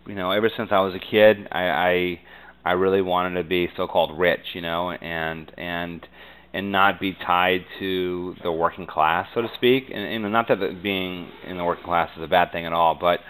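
An American man giving conversational interview answers as a business guest, earnest and halting, often saying "you know.". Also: low voice, fairly even intonation, a bit bright, clean tone, low-quality recording.

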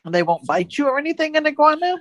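A woman's voice: sits high, sing-song, quick pace, dry recording. Joking woman in casual podcast chat, close and dry. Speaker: podcast co-host. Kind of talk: casual chat retelling odd news stories.

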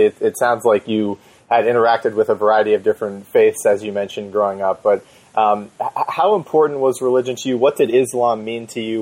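Low-voiced hesitant male interview host. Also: darkish tone, rough recording. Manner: hesitant, repeats words, polite. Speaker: podcast host. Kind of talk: interview questions.

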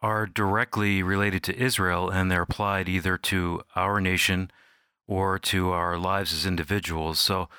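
Man, program host, low-pitched voice, dry sound, radio presenting. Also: flat delivery, unhurried, bright, forceful tone, clear, resonant tone, dry recording.

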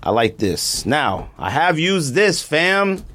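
A man's voice: on the high side, slow pace. A middle-aged man hosting a podcast, chatting casually and laughing as he keeps insisting he is not joking. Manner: animated, laughing storyteller, repeats "I'm not even joking". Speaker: podcast host. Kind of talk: casual chat with ad read.

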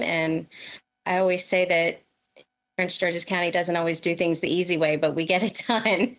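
A middle-aged woman speaks as a guest in a conversational interview. Her voice is slightly on the low side, and she talks like an experienced professional explaining her work. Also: vocal fry, fairly bright tone. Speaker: podcast guest, veteran emergency dispatch professional.

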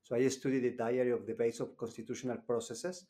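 A man's voice: mid-range voice, level tone, fast talker, mellow. Man, hesitant and thoughtful. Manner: hesitant, repeats words while searching for phrasing. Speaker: graduate student guest. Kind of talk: conversational interview.

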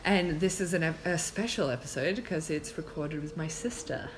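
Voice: medium pitch, moderate pace, a little hiss or hum, low-quality recording. Casual, reflective podcast host. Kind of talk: casual conversational podcast talk.

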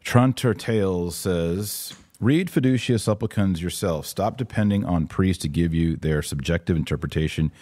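A man with a very low, dark voice that has a husky grain to it. He talks as a commentary host, opinionated and emphatic, repeating key phrases as he responds to comments. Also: normal speed, few pauses. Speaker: podcast host and religious commentator. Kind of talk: live commentary answering viewer comments.

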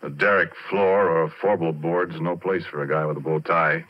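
An actor playing a retired, middle-aged oil-field roughneck, telling his story in colloquial, conversational 1940s radio drama style. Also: low-pitched, fairly even intonation, moderate pace. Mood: folksy, chatty. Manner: colloquial, conversational, addressing the listener directly. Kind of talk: 1940s radio drama first-person narration. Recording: muffled vintage 1940s radio recording.

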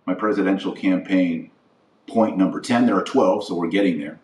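American male podcaster, low pitch, emphatic speechmaking with weighty pauses, recorded at a distance from the mic. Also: a little mellow. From United States. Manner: emphatic, deliberate phrasing with stressed pauses. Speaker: podcast host. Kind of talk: political platform monologue, speech-like. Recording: roomy echo, distant from mic.

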